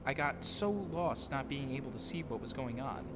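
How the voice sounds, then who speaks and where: A man's voice: mid-range voice, expressive, normal speed. A man giving an earnest, reflective scripted narration of his own life, with short acted dialogue. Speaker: narrator, audio drama producer and actor. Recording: long reverb, clear music behind. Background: music bed.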